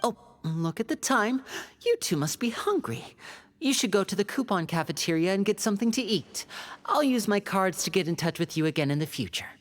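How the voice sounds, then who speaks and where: A woman voicing a young male magician agent in a video game, polite, composed and calculating, with measured speech. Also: mid-range voice, big pitch swings, natural voice. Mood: polite, helpful. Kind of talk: video game character acting. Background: game music.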